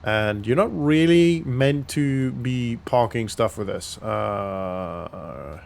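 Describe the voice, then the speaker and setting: A man's voice: medium pitch, takes it slowly, creaky, darkish tone, slight background noise. A man giving casual, informal roleplay commentary as a gaming vlogger, thinking aloud as he goes. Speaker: gaming vlog host.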